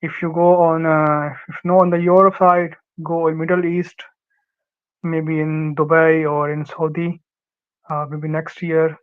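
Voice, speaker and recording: A young Pakistani man, Urdu his first language, talks through his experience with many fillers and false starts. His voice sits a little high and stays flat, yet comes through smooth and clear. The sound is dull and lo-fi, like an online call. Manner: halting, many fillers and restarts. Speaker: guest, former student. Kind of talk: casual interview advice.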